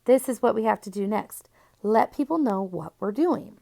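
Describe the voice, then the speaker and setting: A woman's voice: medium pitch, lively intonation, normal speed, creaky, dark tone. A woman voicing a blunt, bossy, eager preteen girl who speaks insistently in a children's storybook. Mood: businesslike, eager. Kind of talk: children's storybook character reading.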